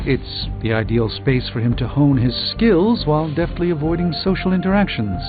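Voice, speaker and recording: An actor playing a learned, composed sorcerer in a video game, speaking formally and articulately with dry wit. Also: male voice, on the high side, phone-quality sound, lightly acted. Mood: composed, knowing. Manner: articulate, formal, dryly wry. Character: learned, composed, dryly witty adult male sorcerer. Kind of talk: video game character dialogue. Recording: thin band-limited audio under loud music.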